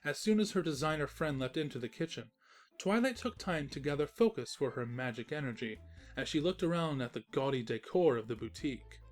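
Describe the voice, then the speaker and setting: Low-voiced woman narrating a story. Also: expressive, brisk, darkish tone, faint music behind. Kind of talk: storybook-style comic narration.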